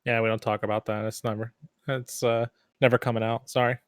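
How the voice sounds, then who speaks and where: A man's voice: medium pitch, flat delivery, brisk, clean tone, no room sound, studio quality. A man bantering casually as a panelist on a gaming podcast.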